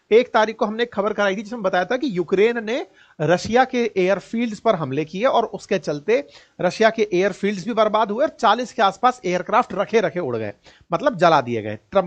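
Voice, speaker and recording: A man's voice: high voice, animated, brisk. An Indian man giving informal, colloquial news commentary with a mocking edge, often putting rhetorical questions straight to his listeners.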